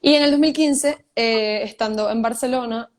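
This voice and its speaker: Venezuelan woman guest, young, fairly high, talkative, light laughs, video call sound. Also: normal speed. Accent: Venezuelan Spanish. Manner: chatty, laughs often, lively storytelling. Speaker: guest writer. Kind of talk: casual live video chat.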